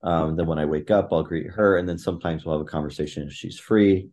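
A middle-aged man talking as a podcast guest, thoughtful and halting, with frequent fillers and restarts. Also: a bass voice, fairly even intonation, darkish tone. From Thailand. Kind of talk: conversational interview.

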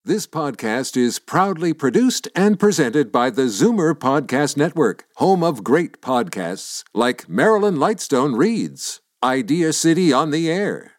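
Slow, lively male network announcer. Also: on the high side, no echo, studio quality. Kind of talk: scripted promotional tag.

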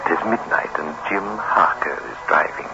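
Deep, sinister horror radio host. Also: male voice, very animated, vocal fry, loud music behind the voice, full character voice. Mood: ominous. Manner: deliberate, ominous, drawn-out delivery. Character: sinister, slow-talking horror host with macabre humour. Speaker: horror series host and narrator. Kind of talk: 1960s radio suspense narration. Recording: dull old radio recording.

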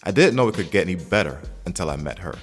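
A man's voice: deep voice, lively intonation, fast talker, loud music behind the voice. A man playing a confident, smitten young single man in an audio drama, talking in a slangy, conversational way. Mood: reflective. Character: cocky, smitten young single man telling his story. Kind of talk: audio drama narration and dialogue.

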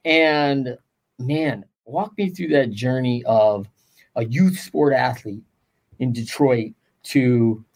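A man's voice: mid-range voice, slow, creaky, a little mellow. Male podcast host, coach type, upbeat and enthusiastic, chatty interviewer. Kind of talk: interview conversation.

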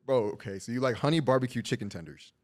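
A man's voice: mid-range voice, lively intonation, a little mellow. A man hosting a podcast, joking around and laughing often in casual chat. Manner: fast, joking, laughs a lot. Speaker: podcast host.

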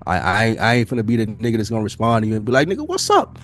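A man's voice: lowish voice, huge pitch swings, racing, a bit bright, soft background music. A man in a casual podcast discussion, swearing freely and acting out imagined exchanges as he tells his point. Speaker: podcast speaker.

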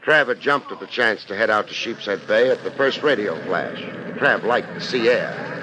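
An actor playing a laid-back, wry private detective in a dry 1950s radio style, on a noisy vintage recording. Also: male voice, on the low side, moderate pace, close to an everyday voice. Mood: dry narration.

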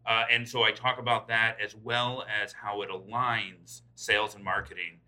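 A man's voice: on the low side, flat delivery, moderate pace, pushed and bright. A man giving informal, explanatory answers as a guest in a podcast interview. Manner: informal, explanatory, checks in with "right?". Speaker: podcast guest, tech company chief executive.

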